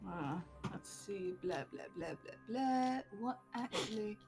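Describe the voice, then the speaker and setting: A woman chats casually with a group on a stream. Her voice sits at an average pitch and her wording is blunt, with plenty of swearing. Faint music plays behind her. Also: a little slow, a little mellow. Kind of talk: casual group chat on a livestream.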